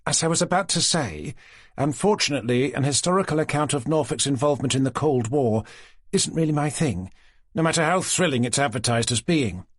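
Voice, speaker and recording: A man's voice: medium pitch, lively intonation, a little fast, slightly rough, dry recording, studio quality, voice pushed up. A man voicing a wry, reluctant female detective.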